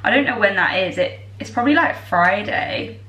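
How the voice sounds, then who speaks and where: A young woman in her twenties, tired and a little croaky, chatting in a bubbly way to her camera while she bakes in her home kitchen. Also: mid-range voice, animated, normal speed, bright, forceful tone, slight background noise. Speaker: vlogger. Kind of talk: casual chat. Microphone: far camera. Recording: echoey kitchen from far off.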